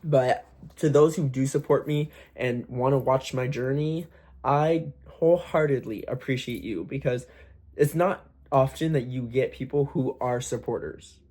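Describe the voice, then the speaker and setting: A man's voice: mid-range voice, measured pace, darkish tone. Young man, casual vlog chat. Slightly forced raised voice, candid, loose with curse words. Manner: chatty, candid, swears freely, many fillers. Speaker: aspiring singer vlogger. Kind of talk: casual talk to camera.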